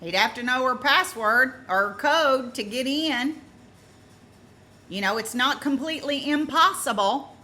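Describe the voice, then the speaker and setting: A woman with a fairly high, bright and forceful voice talks through a true-crime case informally. She is emphatic and deliberate, circling back to stress her points. Some noise sits behind her voice.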